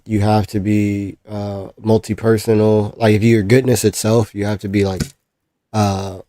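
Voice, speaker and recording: A man's voice: lowish voice, dark tone, no room sound. A man making the case for his faith in a live online debate, explaining step by step and checking in with "right?".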